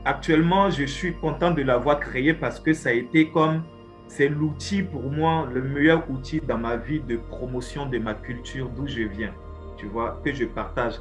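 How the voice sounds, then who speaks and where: Brief: man, Ivorian French accent, mid-pitched, easygoing interview. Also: normal speed, soft background music. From Côte d'Ivoire. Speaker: interviewee, course instructor. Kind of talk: casual personal testimony.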